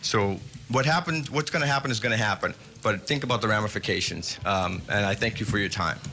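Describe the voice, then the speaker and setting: A middle-aged man giving public testimony at a hearing, indignant and earnest, with halting fillers. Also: on the low side, sharp tone, slight background noise.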